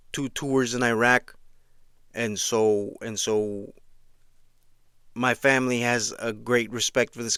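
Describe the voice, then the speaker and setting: A man's voice: medium pitch, a bit bright, smooth, no room sound, studio quality. A man who grew up in Eastern Washington, giving a casual spoken commentary and often checking in with "right?". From Mexico, raised in Eastern Washington. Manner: conversational, frequent restarts and "right?" check-ins.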